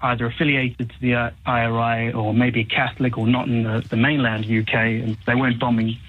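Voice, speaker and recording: A man's voice: medium pitch, little pitch movement, fairly quick, slight background noise. A man with a South African accent, calling in to a radio show, speaking in a thoughtful, hesitant way as he searches for words. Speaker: caller. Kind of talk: phone-in conversation. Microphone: telephone. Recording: tinny phone line.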